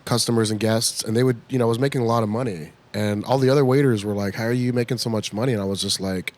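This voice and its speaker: Animated man in his fifties telling stories. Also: mid-range voice, fast. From United States (California). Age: 50s. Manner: animated storyteller who acts out quoted voices. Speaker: guest comedian. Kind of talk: casual interview chat. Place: bar at a comedy club.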